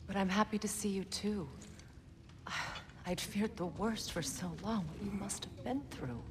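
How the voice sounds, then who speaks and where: An actress voicing a grieving, resolute goddess who speaks quietly and firmly in a video game. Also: female voice, mid-range voice, a little slow, long reverb tail, slight background noise, lightly acted. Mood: warm, wistful. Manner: quiet, firm, grave. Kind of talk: video game cutscene acting.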